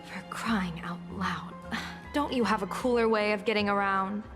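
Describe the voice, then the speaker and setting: An actress voicing a composed, wry female general in a video game, with a measured, dry delivery. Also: medium pitch, natural voice. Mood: exasperated. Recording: long reverb, music underneath.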